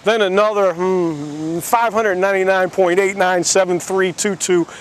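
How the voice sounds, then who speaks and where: A man with a high-pitched voice narrates a satirical tale. He explains things with mock innocence and sarcasm. There is faint background noise, as if recorded outdoors. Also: steady tone, measured pace.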